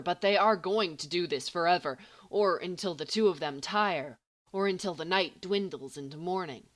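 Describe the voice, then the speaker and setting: A woman reading a story as scripted narration, expressively and with deliberate, emphatic phrasing. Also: medium pitch. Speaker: audiobook reader of fan fiction.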